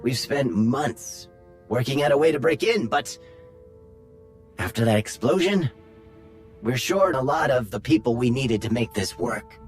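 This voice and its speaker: An actor playing a quick, earnest young rebel leader in a video game, a little wry. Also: male voice, medium pitch, animated, faint music behind, lightly acted. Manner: hurried, earnest, a bit wry.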